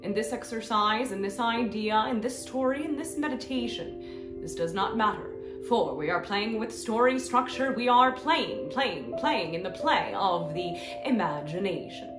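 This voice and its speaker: A woman voicing a benevolent, serene extraterrestrial guide in a guided meditation, with deliberate, hypnotic, repetitive phrasing and long pauses. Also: medium pitch, lively intonation, lightly acted. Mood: soothing, wondering. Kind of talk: slow channeled guided meditation. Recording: roomy echo, soft music behind.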